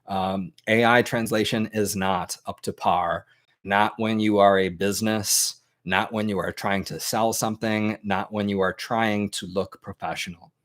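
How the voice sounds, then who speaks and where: A man's voice: lowish voice, fairly even intonation, unhurried, a bit bright. An American man, a native English speaker, hosting an informal advice talk to a live chat, with plenty of ums and restarts. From United States. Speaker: host.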